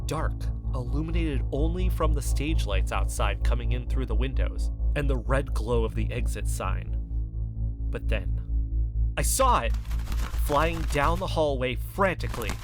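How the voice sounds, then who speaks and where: A man's voice: medium pitch, close to an everyday voice. An actor playing an earnest, driven young man, narrating in the first person with intense, suspenseful storytelling.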